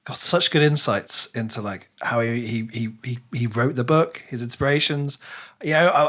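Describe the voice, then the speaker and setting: A man's voice: mid-range voice, normal speed, fairly bright tone. A man co-hosting a podcast in casual, conversational book chat. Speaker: podcast co-host.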